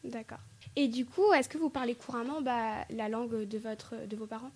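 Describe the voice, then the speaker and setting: A woman interviewing guests for a radio segment, asking gentle questions with hesitations and fillers. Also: fairly high pitch, steady tone, moderate pace, breathy edge.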